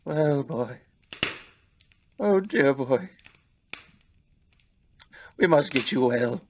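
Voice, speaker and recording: A man playing an elderly reformed miser, halting, earnest and formally courteous, in a Victorian audio play. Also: mid-range voice, lively intonation, slight background noise. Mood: warm, emotional. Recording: dull lo-fi recording.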